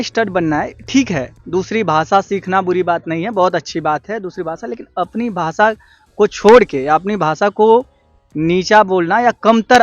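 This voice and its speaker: High-voiced earnest man. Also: highs cut off. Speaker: interviewee.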